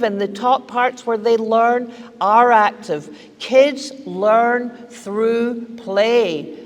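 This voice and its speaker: An older Scottish woman speaks slowly and deliberately at a podium microphone, joking and emphatic with her points. Her voice rings out with a long reverb, as in a large hall. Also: a little high. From Scotland. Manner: lively, joking, emphatic, gesturing. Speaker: keynote speaker. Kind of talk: humorous conference keynote. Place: large hall with seated audience. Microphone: podium mic on stand.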